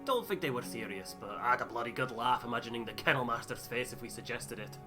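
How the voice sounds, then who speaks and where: A man's voice: medium pitch, quick pace, music in the background, close to an everyday voice. A man voicing a joking, teasing sailor crewmate in a game, with a blunt, bantering manner. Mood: amused teasing. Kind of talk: voiced game dialogue.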